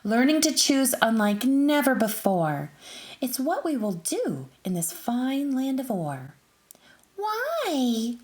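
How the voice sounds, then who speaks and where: A woman narrating a rhyming children's story in an expressive, deliberate way, speaking directly to young listeners. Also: fairly high pitch.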